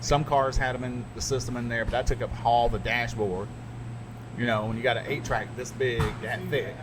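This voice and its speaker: A middle-aged man, a radio DJ, chatting casually and telling stories with animated asides. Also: mid-range voice, moderate pace. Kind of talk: casual podcast chat. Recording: noisy background.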